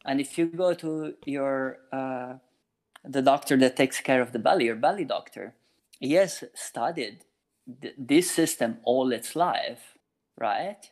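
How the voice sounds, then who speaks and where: Thoughtful man in his forties, plain pitch. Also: measured pace. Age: 40s. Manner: thoughtful, explains with everyday examples, frequent restarts. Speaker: meditation teacher and flute maker. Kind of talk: casual interview answers.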